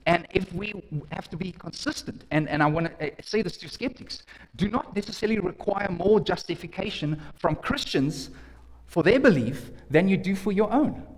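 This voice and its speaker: A man's voice: sits high, animated, normal speed. A man preaching a sermon as pastor, earnest, often restarting and repeating words. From South Africa. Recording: long hall reverb.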